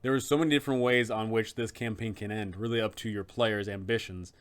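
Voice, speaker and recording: A man's voice: on the low side, steady tone, fairly quick. Emphatic male guide narrator. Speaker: host of a game master's guide series. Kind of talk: scripted, dramatic explanatory narration.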